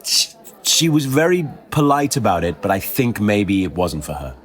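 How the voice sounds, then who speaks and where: A man with a distinctly low voice speaks as a podcast guest. He tells anecdotes conversationally, in a hesitant, halting way. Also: big pitch swings. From born in Kuwait, moved around the world.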